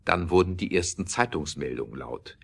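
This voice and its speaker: A man narrating an audio drama with scripted, expressive storytelling delivery. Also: low-pitched, normal speed, creaky, slightly rough.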